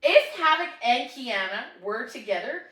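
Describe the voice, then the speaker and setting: A loud, animated woman co-hosts a casual chat and laughs often, her voice high and swinging all over the place. She sounds bright and a little sharp. She's picked up in an echoey room from some distance off the mic. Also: normal speed. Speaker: podcast co-host.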